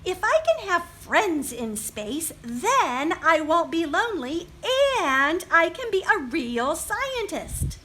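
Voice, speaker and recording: A woman's voice: extremely high pitch, sing-song, takes it slowly, few pauses, pushed and bright, a little hiss or hum, exaggerated voice. A woman voicing a serious, determined young female rabbit scientist in a children's storybook, earnest and emphatic.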